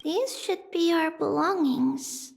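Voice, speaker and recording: An actress playing a gentle, wistful young-sounding demigod priestess in a video game, telling things in a measured, reflective way. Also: female voice, very high voice, gravelly, full character voice. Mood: calm, nostalgic. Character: childlike female high priest, gentle, wistful, nostalgic demigod. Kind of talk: scripted video game dialogue.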